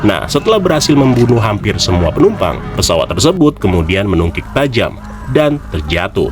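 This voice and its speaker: A man with a low voice hosts a review. His delivery is casual but scripted, and his pitch moves around in an animated way. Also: brisk, over music. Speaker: YouTube host and film reviewer.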